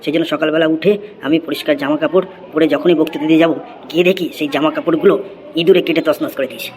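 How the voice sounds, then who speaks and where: A man's voice: a little high, steady tone, racing, a little hiss or hum, close to an everyday voice. A man voicing an eager schoolboy in a comedy cartoon, reciting essays by rote. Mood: earnest, reciting.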